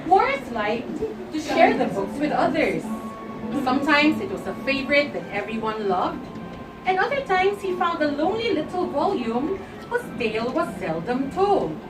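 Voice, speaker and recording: A woman teacher reading a picture book aloud in an expressive, dramatic way, drawing out words for emphasis. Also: high-pitched, moderate pace. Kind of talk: expressive storytime read-aloud. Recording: roomy, distant mic under loud music and crowd. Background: loud music and crowd noise.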